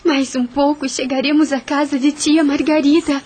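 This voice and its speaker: An actress playing a brave, gentle young woman in a radio drama, earnest. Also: sits high, level tone, moderate pace, lightly acted. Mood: earnest, reassuring.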